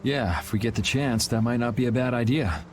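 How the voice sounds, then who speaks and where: Game role: male actor as a young vigilante swordsman, unhurried and frank, mid-range voice, noisy ambience behind. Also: mid-range voice, lightly acted. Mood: relaxed. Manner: laid-back, matter-of-fact. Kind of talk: video game voice acting.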